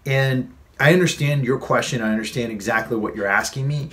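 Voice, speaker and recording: Vlog host, male, late thirties, mid-pitched, earnest and chatty, repeats points to drive them home. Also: brisk, a bit bright. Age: 30s. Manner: earnest, conversational, repeats phrases for emphasis. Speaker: fitness coach and vlog host. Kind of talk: casual advice vlog.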